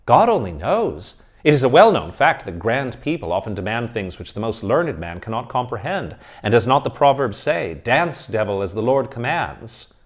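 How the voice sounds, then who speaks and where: A man voicing a nervous, excitable young male student of philosophy who protests in flustered exclamations. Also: medium pitch, normal speed, hardly any pauses, voice pushed up. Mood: pleading.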